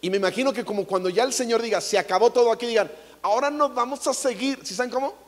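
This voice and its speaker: Brief: jokey Mexican preacher, high-pitched man, long hall reverb. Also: quick pace. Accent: Mexican Spanish. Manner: playful, jokey, acts out quotes. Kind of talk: comedic stage sermon. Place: large theater stage. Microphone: handheld mic.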